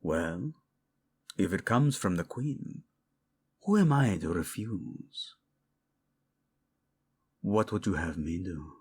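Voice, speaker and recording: A male voice actor playing a devoted, possessive royal husband in an intimate romantic audio roleplay, with a husky low voice and formal, teasing speech. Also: lively intonation, full character voice. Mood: flirtatious, yielding. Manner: slow, hushed, teasing, formal wording.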